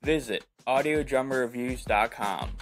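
Man, podcast host, scripted review narration, mid-pitched voice. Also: normal speed.

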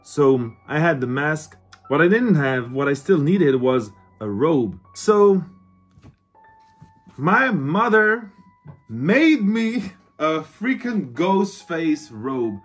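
Male vlogger, thirties, husky, fairly high voice; casual, enthusiastic, sweary show-and-tell. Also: takes it slowly, faint music behind. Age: 30s. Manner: excited, swearing, informal. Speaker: vlogger, horror collector. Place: small cluttered room indoors.

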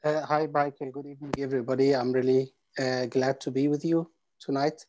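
A man in his forties from Egypt introduces himself on a video call. His delivery is flat and even, and hesitant fillers break up his sentences. Faint background noise sits under his voice. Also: medium pitch, brisk, highs cut off. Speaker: imam and project coordinator. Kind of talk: panel self-introduction. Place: home room, indoors.

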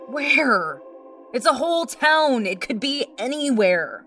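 An actress playing a curious, blunt teenage student in an audio drama. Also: female voice, sits high, very animated, takes it slowly, fairly bright tone, faint music behind, exaggerated voice.

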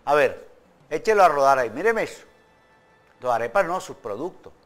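A man's voice: a little high, sing-song, moderate pace, faint music behind. A man in his sixties hosting a TV show from a studio, reading news, then joking his way through ad reads. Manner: jokey, bursts into laughter during ad reads. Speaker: TV host. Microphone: studio mic.

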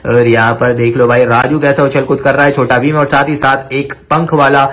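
A man hosting a toy showcase, talking to viewers with excitable, repetitive exclamations. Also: medium pitch, normal speed. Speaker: toy review video host. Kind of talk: enthusiastic toy showcase commentary addressed to viewers. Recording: clear background noise with clicks.

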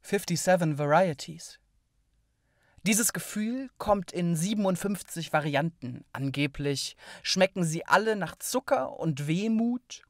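A poet reading their own literary work in a measured, deliberate manner with pauses between phrases. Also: medium pitch, no room sound, clean studio sound. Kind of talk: literary poetry reading, spoken word.

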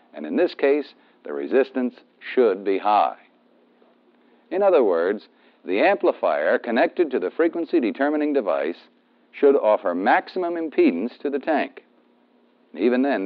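An American man giving methodical instructional narration as a training film instructor, stressing key terms. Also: lowish voice. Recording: dull, band-limited 1970s film soundtrack.

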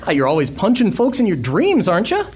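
A woman's voice: medium pitch, wildly swooping pitch, fast, crowd noise. A woman playing a folksy, talkative young delivery boy in a 1940s-style radio adventure. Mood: teasing.

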